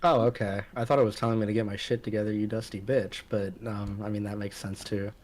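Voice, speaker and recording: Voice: mid-range voice, steady tone, fast, darkish tone. Wry, slangy guest chatting casually. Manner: wry, joking. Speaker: guest receiving a tarot reading. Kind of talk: casual chat with internet slang.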